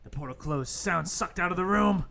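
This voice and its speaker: A man's voice: sits high, moderate pace, vocal fry, bright, forceful tone, full character voice. A man playing a young intern hero who narrates his adventure mock-heroically in an amateur comedic radio play. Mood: mock-heroic narrating.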